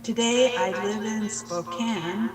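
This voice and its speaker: An older woman from Pine Ridge Reservation, leading a measured, reverent prayer and introducing herself at a workshop. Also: medium pitch, roomy sound, in a large hall, recorded from a distance. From Pine Ridge Reservation, United States. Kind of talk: slow prayer and self-introduction.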